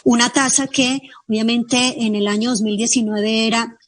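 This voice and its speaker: A woman's voice: fairly high pitch, fairly even intonation, moderate pace, dry recording. A woman with an Ecuadorian Spanish accent, a guest explaining figures at length in an interview. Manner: fluent, explanatory, cites figures. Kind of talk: interview answer.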